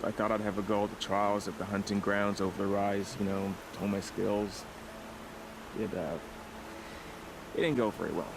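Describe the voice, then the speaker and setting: Give the part of a failed young hunter: low-pitched and gravelly, halting and self-deprecating, as in a video game. Clear ambient noise sits behind the voice. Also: male voice, flat delivery. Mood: sheepish.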